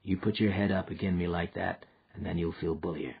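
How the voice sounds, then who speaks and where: A man playing a weary, husky frontier wanderer. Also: low-pitched, fairly even intonation, a little fast, phone-quality sound, heavily acted. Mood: gentle. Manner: rustic frontier dialect, soft and patient.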